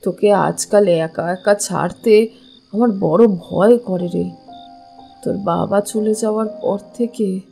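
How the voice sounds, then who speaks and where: A woman's voice: medium pitch, slow, vocal fry, dark tone, soft background music. A woman playing an anxious, protective widowed village mother in an audio drama, pleading and worried. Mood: worried, tender.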